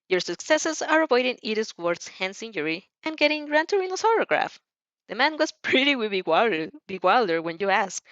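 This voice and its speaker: A woman reads a story aloud with very lively, swinging intonation. She is giggly and informal, tripping over words and going back over them. The sound is dry and a little muffled and lo-fi. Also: medium pitch, dry recording. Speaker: fan fiction reader and reactor. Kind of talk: expressive read-aloud of fan fiction with casual reactions.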